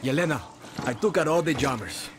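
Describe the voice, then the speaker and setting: A man's voice: a little high, expressive, fairly quick, heavy background noise, lightly acted. Actor as a cocky male guerrilla fighter. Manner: cocky, joking. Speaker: player character. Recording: game audio with loud music.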